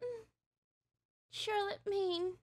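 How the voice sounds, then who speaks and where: A woman's voice: extremely high pitch, very slow, exaggerated voice. A woman voicing a sweet, earnest, vulnerable young lady with polite, delicate speech in a comic dub. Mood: timid, grateful.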